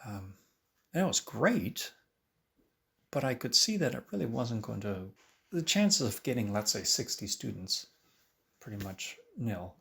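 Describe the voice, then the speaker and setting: Animated, gravelly-voiced male violin teacher. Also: mid-range voice, unhurried, long pauses. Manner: animated, acts out his own past remarks, frequent restarts. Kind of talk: documentary interview talk.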